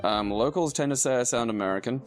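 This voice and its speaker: Man, Australian accent, casual podcast banter, sardonic, laughs often, medium pitch, faint background music. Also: fast. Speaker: podcast co-host. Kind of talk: casual chat.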